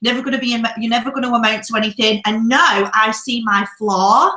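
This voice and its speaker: A woman's voice: on the high side, fairly quick, pushed and bright, echoey room. A woman coaching viewers on confidence in a casual, upbeat, encouraging way, talking straight to them. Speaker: businesswoman and co-host of a confidence talk. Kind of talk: casual live-stream coaching chat.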